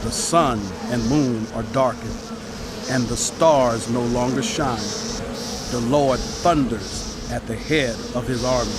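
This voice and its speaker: A slow, solemn prophet. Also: male voice, medium pitch, heavy background noise. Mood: urgent awe. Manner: solemn, declamatory, measured. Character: male prophet narrator, grave and exhorting. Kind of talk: dramatized scripture narration.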